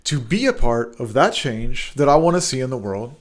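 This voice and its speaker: A man's voice: mid-range voice, big pitch swings, moderate pace. A man hosting a motivational podcast, earnest and prone to repeating words and restarting, who also speaks Hebrew. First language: bilingual, speaks Hebrew. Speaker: podcast host.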